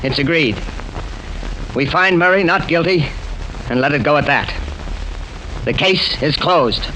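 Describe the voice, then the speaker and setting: A man's voice: on the high side, a little slow, lightly acted, low-quality recording. A man playing a brusque, stubborn jury foreman in an old-time radio drama, blunt and argumentative. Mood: businesslike.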